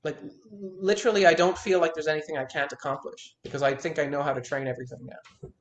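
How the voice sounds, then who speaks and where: A man's voice: on the high side, steady tone, fast talker, echoey room. A man in his thirties, a guitar teacher, talking frankly in a one-on-one consultation and restarting his words as he stresses his points. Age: 30s.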